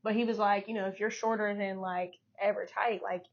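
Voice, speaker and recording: A young woman in her twenties co-hosts a podcast, telling stories in an unhurried chatty way with plenty of "like" fillers. The recording sounds slightly muffled and lo-fi. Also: mid-range voice, steady tone, a little hiss or hum. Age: 20s. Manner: laid-back storytelling, frequent "like" fillers. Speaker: podcast co-host. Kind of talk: casual chat. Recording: dull lo-fi podcast audio.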